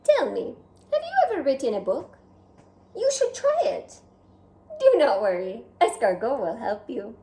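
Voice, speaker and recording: A vain, dramatic male French snail from a storybook, as a woman reads him aloud, full of himself and talking straight to the listener. The voice sits high but mellow and unhurried. The sound carries a roomy echo. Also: sing-song. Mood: vain, theatrical. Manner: theatrical, self-admiring, addresses listener directly.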